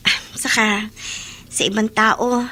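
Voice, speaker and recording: A woman's voice: fairly high pitch, flat delivery, takes it slowly, bright, forceful tone. An actress in a Filipino radio drama playing an affectionate, repentant schoolgirl in an earnest, conversational way. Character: repentant schoolgirl younger sister, once snooty, now affectionate.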